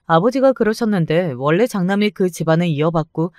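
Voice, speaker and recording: A man narrating a first-person family story in a calm, even scripted manner. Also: sits high, fairly quick, no echo, clean studio sound.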